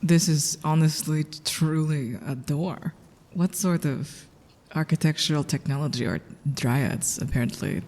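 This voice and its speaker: Woman as vampire knight, tabletop game character, formal, wry, slow delivery, gravelly creak. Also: sits low, a little mellow. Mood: wry, guarded. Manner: deliberate, formal phrasing. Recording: long reverb.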